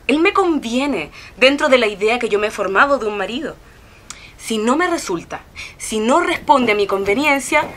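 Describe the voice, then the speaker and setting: An actress playing a cool, frivolous, cynical young daughter in a radio drama. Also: female voice, high voice, a bit bright, full character voice.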